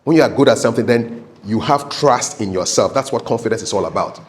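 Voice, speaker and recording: A man's voice: fairly low pitch, fast. A man giving a live training talk as a public speaking coach, conversational and instructive, repeating key points for emphasis and often checking in with short tag questions. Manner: emphatic, repetitive, checks in with "okay?".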